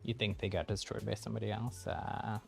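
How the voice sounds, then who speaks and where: A man's voice: lowish voice, level tone, a little fast, vocal fry. A man doing casual live game commentary, thinking aloud and chatting with viewers in an easygoing way. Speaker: game streamer. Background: game music.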